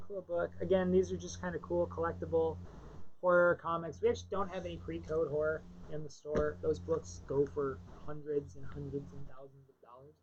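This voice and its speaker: Low-voiced woman, chatty comic shop host. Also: level tone, fairly quick, a little mellow. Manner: chatty, enthusiastic, mimics people in quotes. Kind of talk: casual chat. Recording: dull lo-fi livestream audio, faint noise.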